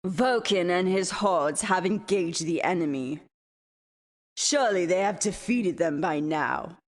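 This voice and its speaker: A woman playing a devoted villainous sorceress queen in a fantasy audio drama, speaking formally and deferentially. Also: fairly low pitch. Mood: smooth deference. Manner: formal, deferential, theatrical, measured.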